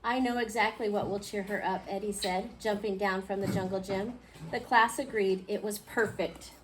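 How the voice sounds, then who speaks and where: A woman reading a picture book aloud to a class, expressively, pausing to question her young listeners. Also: mid-range voice, fairly even intonation. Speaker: children's storybook reader. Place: indoor school classroom. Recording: clearly noisy classroom recording.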